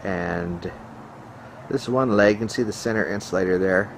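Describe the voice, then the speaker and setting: Halting man over clear background noise. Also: low-pitched. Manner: matter-of-fact, halting, technical explaining. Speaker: ham radio hobbyist showing his equipment. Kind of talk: casual hands-on video walkthrough. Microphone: handheld camera microphone. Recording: clearly noisy handheld camera recording.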